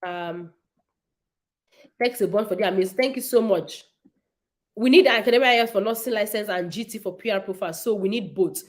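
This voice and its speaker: A woman's voice: medium pitch, a little fast, pauses often. A woman, hosting a casual livestream and answering viewers' nursing questions in an emphatic, repetitive way.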